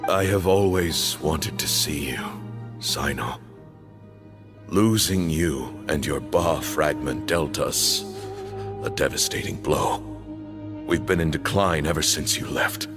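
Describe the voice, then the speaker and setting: A man playing a stern, bitter temple leader in a video game, speaking in a formal, grave, measured way. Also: extremely low pitch, wildly swooping pitch, full character voice. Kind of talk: dramatic video game voice acting. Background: background music.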